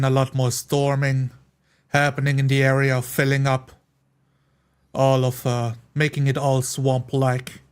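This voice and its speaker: A man's voice: mid-range voice, smooth. A man playing a tabletop roleplay game, casually improvising what his character does and says.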